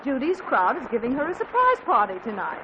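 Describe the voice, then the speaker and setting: A woman's voice: a little high, wildly swooping pitch, fairly quick. An actress playing a flustered but dryly humorous middle-aged mother in a 1940s radio comedy, heard through a hissy, dull old radio recording. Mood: wry.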